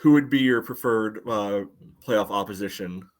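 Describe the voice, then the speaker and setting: A man's voice: lowish voice, moderate pace. A man co-hosting a soccer podcast, talking through analysis in a casual, dry, wry way. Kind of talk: casual sports analysis chat.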